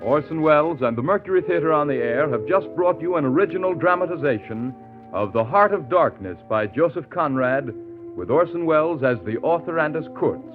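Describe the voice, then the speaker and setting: A man's voice: on the high side, lively intonation, fairly quick. A man working as a network announcer, formal and declarative in 1930s radio style. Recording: tinny, narrow 1930s radio recording. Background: prominent music.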